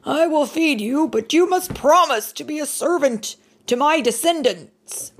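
A woman's voice: on the high side, animated, takes it slowly, gravelly, darkish tone, a little hiss or hum, voice pushed up, exaggerated voice. A woman voicing a worried, stern old man who builds an ark, in a storybook reading. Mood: bargaining.

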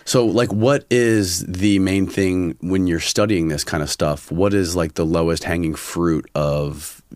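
Guy hosting a podcast, really deep voice, loose casual way of talking, dry close mic sound. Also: fairly even intonation, unhurried, a little mellow, no room sound. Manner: informal, loose phrasing peppered with "like". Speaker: podcast host, interviewer. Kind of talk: casual chat.

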